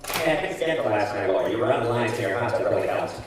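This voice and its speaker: A man's voice: on the low side, expressive, racing, close to an everyday voice. A man playing a cocky young amateur actor, breezy and casual, in old time radio comedy style. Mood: pleased. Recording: echoey hall, distant stage mic.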